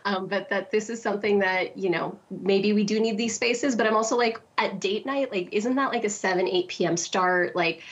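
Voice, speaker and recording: A woman's voice: mid-range voice, normal speed, few pauses, muffled recording. A woman in her thirties, a founder speaking reflectively as a debate guest over a video call. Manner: reflective, many fillers like "you know". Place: home room.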